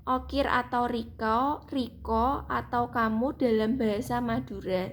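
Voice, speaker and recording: Young woman reading a seminar paper. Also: fairly high pitch. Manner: steady reading pace with small restarts. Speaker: student presenter.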